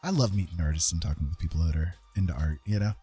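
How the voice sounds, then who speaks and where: A man's voice: low-pitched, racing, warm tone, breathy edge, faint music behind. A man hosting a casual live art stream, chatting to viewers in a rambling, hesitant way and often repeating himself. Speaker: live stream host and artist.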